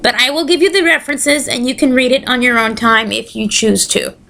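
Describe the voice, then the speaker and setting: A woman's voice: high-pitched, fairly quick, gravelly, sharp tone. A woman hosting a casual solo podcast, chatting and reading aloud, her voice slightly scratchy today. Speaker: podcast host.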